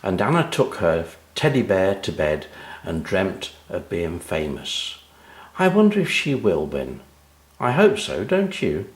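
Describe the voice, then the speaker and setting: A man's voice: sits low, very animated, unhurried, echoey room. A man narrating a children's story expressively, speaking directly to young listeners. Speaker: author and narrator.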